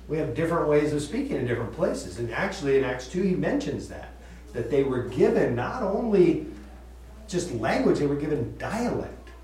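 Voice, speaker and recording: A man's voice: a little high, darkish tone, distant mic, a little hiss or hum. Chatty man teaching in an echoey room. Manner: chatty, laughs often, repeats and restarts. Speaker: Bible study teacher. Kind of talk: casual Bible study teaching. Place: room with group listening.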